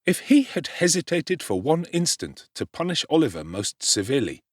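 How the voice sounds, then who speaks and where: A man narrating a classic novel as a dramatic audiobook performance, in an expressive, theatrical way. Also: a little high, normal speed, no echo, studio quality.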